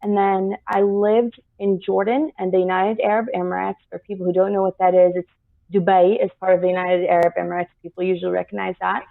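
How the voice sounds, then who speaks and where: Earnest woman guest, slightly gravelly. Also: mid-range voice. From United States. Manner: earnest, steady, with frequent "um" pauses. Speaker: guest activist. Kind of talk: personal account in an interview. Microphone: video call. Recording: tinny phone-like line.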